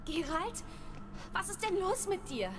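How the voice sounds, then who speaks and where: An actress playing a sharp-tongued young woman healer who demands answers, in a video game dub. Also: very high voice, animated, unhurried. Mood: impatient. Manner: impatient, demanding. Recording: music underneath.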